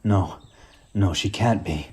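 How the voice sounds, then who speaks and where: A man's voice: deep voice, takes it slowly, a little mellow. An actor playing a wounded, sarcastic superhero dad, a man, in a radio play. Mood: strained, wry.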